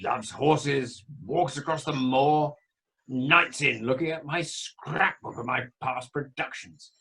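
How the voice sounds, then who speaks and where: A man's voice: mid-range voice, slow, a bit bright, slightly rough. A man playing a boastful, flirtatious amateur stage director in a radio play, with a theatrical, exclamatory, self-satisfied manner.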